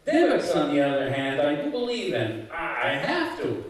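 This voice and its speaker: Man as sardonic talking skull, high-pitched, showbiz patter, radio comedy, very echoey, distant mic. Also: huge pitch swings, moderate pace. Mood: wry. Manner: sardonic, blunt, chatty. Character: wisecracking talking skull on a bookshelf, showbiz old-timer. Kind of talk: live old-time radio comedy acting. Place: community center, live stage show. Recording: echoey hall, distant mic, faint noise.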